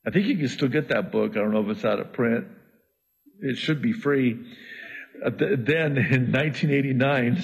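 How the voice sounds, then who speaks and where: A middle-aged man whose first language is Arabic, preaching through scripture with lively asides. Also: mid-range voice, vocal fry, breathy edge, low-quality recording. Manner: animated, jumping from scripture reading to asides. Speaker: pastor. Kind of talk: expository sermon.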